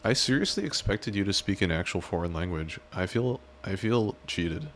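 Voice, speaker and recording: A man's voice: low-pitched, expressive, moderate pace, a bit bright. A man streaming live, chatting casually with viewers in a rambling, joking, self-deprecating way.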